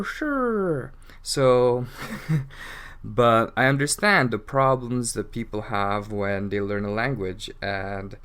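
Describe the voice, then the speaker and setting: A man with a mixed British and Canadian accent, an English teacher hosting a casual, rambling, unscripted podcast monologue. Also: fairly low pitch. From England. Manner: rambling, frequent fillers like "like". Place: Toronto.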